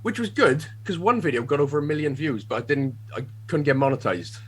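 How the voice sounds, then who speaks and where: A man's voice: fairly high pitch, lively intonation, a little fast, slightly rough. A Welsh man guesting on a podcast, chatting casually, swearing freely and laughing at himself over a remote call. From Wales.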